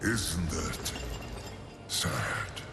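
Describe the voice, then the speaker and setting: An actor playing a grave, ominous otherworldly lord, cryptic and commanding, in a game cutscene. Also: male voice, extremely low pitch, expressive, extremely slow, exaggerated voice. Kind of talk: dramatic game cutscene acting. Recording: loud music behind.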